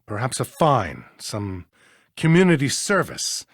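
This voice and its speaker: A stern, self-important judge from a mystery game. The delivery is pompous and formal with wide swings of pitch, recorded very dry. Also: big pitch swings, measured pace, no room sound. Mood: grudging, weary. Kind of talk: video game voice acting.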